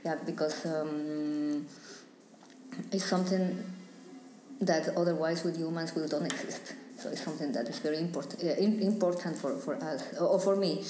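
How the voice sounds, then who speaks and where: A woman's voice: deep voice, steady tone, mellow, roomy sound, a little hiss or hum, rough recording. A young woman from Ecuador, an artist talking in a casual interview and often stopping to search for words. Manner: halting, searching for words, many restarts. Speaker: artist guest.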